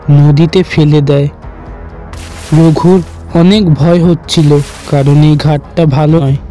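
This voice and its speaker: A man's voice: fairly high pitch, mellow. A man narrating a horror cartoon story in a dramatic style.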